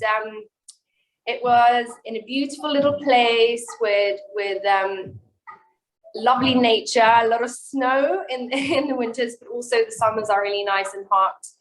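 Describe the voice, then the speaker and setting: A woman's voice: a little high, fairly bright tone, rough recording. A woman from Norway, a singer and entrepreneur, speaking earnestly in a video-call interview with frequent ums and restarts.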